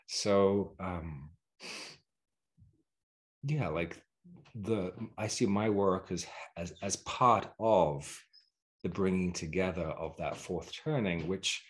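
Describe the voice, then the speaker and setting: A middle-aged man on a video call, explaining reflectively as a guest teacher and often pausing to search for words. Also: low voice. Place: carpeted room at home. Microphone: video call, sitting back from the computer.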